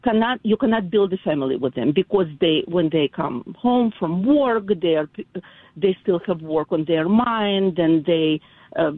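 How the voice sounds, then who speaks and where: A mature woman from Ukraine with an Eastern European accent, calling a radio show by phone, polite and halting in her speech. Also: medium pitch, no echo. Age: middle-aged or older. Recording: tinny, dry phone line.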